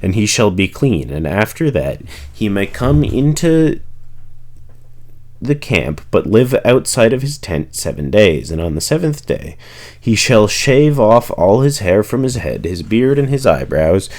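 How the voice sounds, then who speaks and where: Man, lowish pitch, scripture reading, reader. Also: very animated, a little slow, darkish tone. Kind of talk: scripture read aloud.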